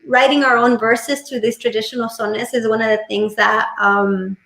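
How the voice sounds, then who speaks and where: A woman whose first language is Spanish, a musician guest chatting casually on a video call from home, relaxed and full of fillers. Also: fairly high pitch, normal speed, vocal fry, roomy sound, rough recording. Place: home room. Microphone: laptop webcam mic on video call.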